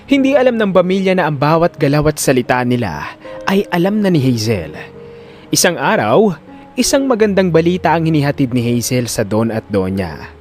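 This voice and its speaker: A man narrating a dramatic scripted story. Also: a little high. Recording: music under the voice.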